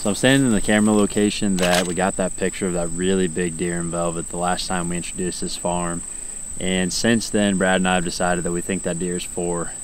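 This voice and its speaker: A man's voice: low-pitched, level tone, a little fast, slight background noise. A young American man chatting casually to camera as the host of an outdoor vlog. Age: young adult. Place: outdoors in fields and woods.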